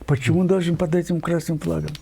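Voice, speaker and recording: An older man from Kyrgyzstan, a writer giving an interview, talking insistently with many digressions, pauses and fillers. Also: fairly high pitch, little pitch movement, vocal fry, mellow, slight background noise. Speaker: guest writer. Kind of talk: emphatic interview talk.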